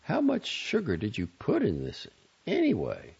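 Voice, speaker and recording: A brash, bossy woman from an audiobook, acted out by a male narrator, irritable and sardonic. The lines swing widely in pitch with a gravelly edge, and the recording is a little dull. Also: wildly swooping pitch, measured pace, darkish tone, heavily acted. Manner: sardonic, clipped and emphatic. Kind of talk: audiobook character dialogue.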